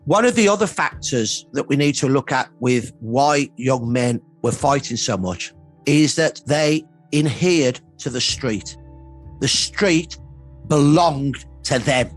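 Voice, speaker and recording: A man's voice: medium pitch, animated, slow pace. A man explaining history emphatically as an expert in a documentary interview, repeating phrases for stress. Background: faint background music.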